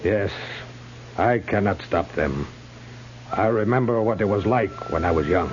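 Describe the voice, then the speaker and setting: Deep-voiced, solemn old chief. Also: male voice, animated. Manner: measured, solemn, terse phrasing. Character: old Sioux chief, grave, weary and wise. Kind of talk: 1950s radio drama acting. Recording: muffled, hissy 1950s radio recording.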